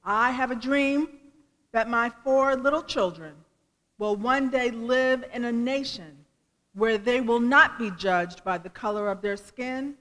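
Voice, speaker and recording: A woman's voice: fairly high pitch, measured pace, fairly bright tone. A woman giving a dramatic, solemn speech reading at a commemorative event. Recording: reverberant hall.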